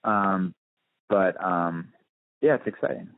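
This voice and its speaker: Deep-voiced man over a muffled phone line. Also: expressive, slow pace, gravelly. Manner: thoughtful, hesitant, circling back to rephrase. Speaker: musician and DJ being interviewed. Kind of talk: casual phone interview. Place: on a phone call. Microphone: telephone.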